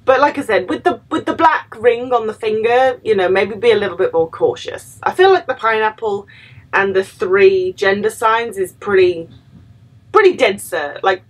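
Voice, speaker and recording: A woman in her thirties chats to the camera from a small room at home, her voice soft and a little breathy. She tells stories in a loose, talkative way, dropping in lots of fillers and acting out what people said. Her pitch sits in a middle range for a woman. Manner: chatty, lots of "like" and quoted asides. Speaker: vlogger, former cruise ship crew. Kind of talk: casual storytelling to camera.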